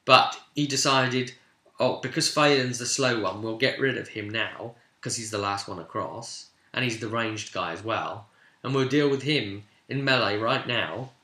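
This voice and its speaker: A man's voice: mid-range voice, a little slow, pushed and bright. A man casually retelling a tabletop role-play session as game master, rambling and informal, slipping into bits of dialogue. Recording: echoey room.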